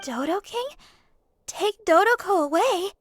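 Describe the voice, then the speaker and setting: A woman voicing a sweet, eager teenage girl in a video game, gentle and reassuring. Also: very high-pitched, expressive, a little slow. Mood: gentle concern.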